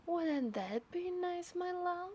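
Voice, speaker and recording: Woman acting as a yandere mermaid, italian accent, really slow n teasing, asmr roleplay, noisy ambience behind her. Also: very high voice, expressive, heavily acted. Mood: seductive, obsessive. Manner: slow, teasing, lapsing into whispers. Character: obsessive, seductive yandere mermaid, teasing and possessive.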